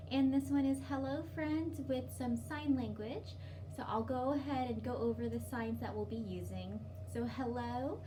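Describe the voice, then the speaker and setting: High-pitched woman, unhurried children's story host. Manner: simple, encouraging, sing-song instruction for young children. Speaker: children's librarian hosting story time. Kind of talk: children's story time with rhymes, signing and read-aloud. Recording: distant mic, noticeable background noise.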